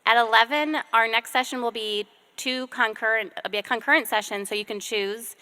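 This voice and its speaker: Bright-voiced woman moderating an event. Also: on the high side, fairly even intonation, clean tone. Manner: brisk, organizing. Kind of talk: live event hosting. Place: conference room with in-person and online audience.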